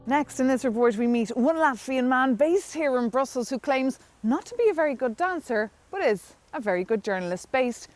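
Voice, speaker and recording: A woman with a high voice presents a city news report, reading her script in a smooth, even manner. Soft music plays beneath her words. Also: normal speed, few pauses. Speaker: show presenter.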